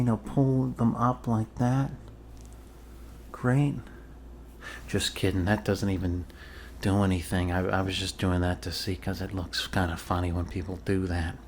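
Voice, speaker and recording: A man doing an improvised ASMR role-play as a doctor, talking in a halting, deliberate, deadpan way to a patient. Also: fairly low pitch, a little hiss or hum. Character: blunt, casually shady male family doctor reassuring a patient. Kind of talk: ASMR medical role-play, improvised personal-attention talk.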